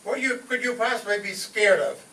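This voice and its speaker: A man's voice: high-pitched, animated, fairly quick, bright, forceful tone. A man playing a blustering, scheming father and husband in an old-time radio comedy on stage. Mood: alarmed, bossy. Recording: echoey live stage from far off, faint noise.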